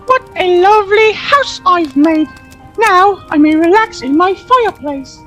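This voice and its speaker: A woman's voice: very high voice, lively intonation, unhurried, a bit bright, exaggerated voice. A woman playing a proud, confident, hardworking little pig, declaiming emphatically in storybook rhyme. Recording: music under the voice.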